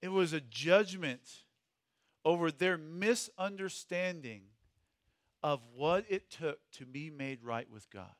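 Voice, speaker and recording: Higher-voiced man teaching, dry close sound. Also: dry recording. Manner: conversational, asks questions, emphatic key words. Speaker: Bible teacher leading a group study. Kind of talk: informal teaching talk with questions to the group.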